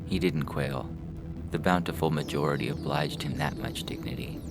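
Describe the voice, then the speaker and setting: Casting: male narrator-host, extremely low voice, scripted podcast read over a very prominent music bed. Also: sing-song, normal speed, gravelly. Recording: loud music under the voice.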